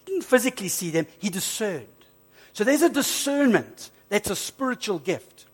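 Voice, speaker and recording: A man preaching a sermon, emphatic and repetitive, slowing down on key phrases, a bit hoarse from flu. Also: high voice, sing-song. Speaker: pastor.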